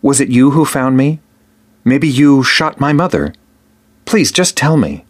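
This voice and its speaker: Man voicing a soft-spoken teenage boy, raised and quiet. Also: mid-range voice, expressive, a little slow, voice pushed up. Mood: pleading. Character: watchful thirteen-year-old boy, calm and calculating.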